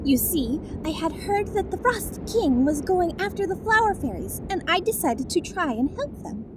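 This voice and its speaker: Tiny, very squeaky flower fairy. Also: female voice, big pitch swings, normal speed, background noise. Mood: prim, explaining. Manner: dainty, prim, polite. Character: tiny, polite, prim female flower fairy. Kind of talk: audio roleplay drama acting.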